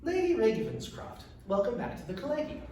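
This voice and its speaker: A man's voice: very high voice, huge pitch swings, mellow, pitched above the performer's own voice, full character voice. Man reading as composed female academic, echoey. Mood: cordial. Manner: measured, courteous, firm. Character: composed, diplomatic woman head of a collegium. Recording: echoey room, distant mic, faint noise.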